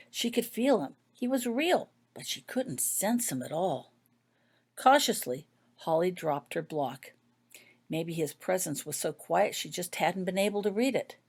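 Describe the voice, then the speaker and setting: A woman's voice: on the low side, darkish tone. A woman reading her own fiction aloud as scripted story narration.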